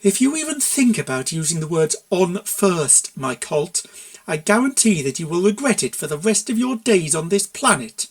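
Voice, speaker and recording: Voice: medium pitch, very animated, normal speed. A storyteller voicing a feisty, sarcastic young cartoon pony mare who is easily exasperated, in a fan fiction reading. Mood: menacing. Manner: sharp, sarcastic, emphatic.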